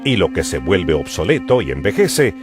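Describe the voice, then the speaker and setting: A man's voice: sits low, sing-song, moderate pace. A man narrating dramatized scripture in an emphatic, declamatory manner. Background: music.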